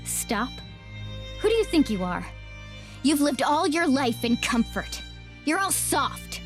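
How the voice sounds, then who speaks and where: A woman's voice: sits high, sing-song, slow, soft background music, exaggerated voice. A woman playing a bitter, vengeful young ninja girl, scornful and intense, in an anime game dub.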